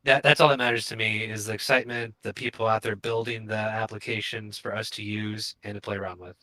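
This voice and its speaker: A man co-hosting a casual discussion, giving firm opinions in halting, unscripted phrases. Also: on the low side, little pitch movement, bright, forceful tone, rough recording. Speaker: co-host of a crypto show.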